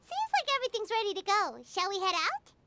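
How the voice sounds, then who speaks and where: A woman voicing a small, excitable childlike fairy companion in a video game, perky and squeaky. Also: quick pace, slight background noise, exaggerated voice. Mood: excited, perky. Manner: perky, speaks of self in third person. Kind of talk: English video game dub acting. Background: music.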